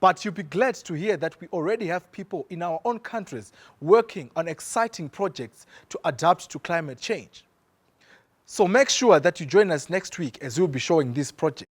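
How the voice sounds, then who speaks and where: A man's voice: a little high, lively intonation, moderate pace. A young man from Zimbabwe presenting a youth climate series in a playful, upbeat scripted way. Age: young adult.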